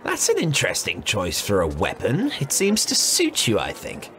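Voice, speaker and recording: An actor playing an awkward soldier companion with some authority, in an audiobook drama. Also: male voice, fairly low pitch, huge pitch swings, moderate pace, a bit bright, faint music behind. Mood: awkward unease.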